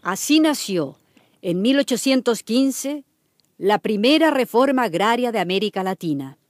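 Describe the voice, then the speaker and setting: A woman's voice: a little high, animated, measured pace. A woman giving scripted, dramatic narration as the narrator of a historical radio drama. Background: music.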